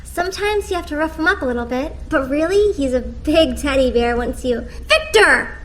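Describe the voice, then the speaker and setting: A woman's voice: sits high, lively intonation, moderate pace, fairly bright tone, a little hiss or hum. A woman voicing a perky, bossy, feisty young cartoon cat who is sweetly pushy. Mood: chirpy, bossy.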